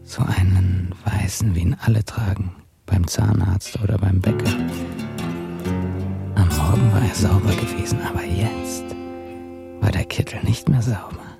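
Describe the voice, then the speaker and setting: Slow, gravelly, brooding drifter narrator. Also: male voice, sits low, steady tone, music in the background. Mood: brooding, intimate. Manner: brooding, intimate, sometimes near a whisper. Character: world-weary drifter recounting a dark obsession. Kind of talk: radio drama first-person narration.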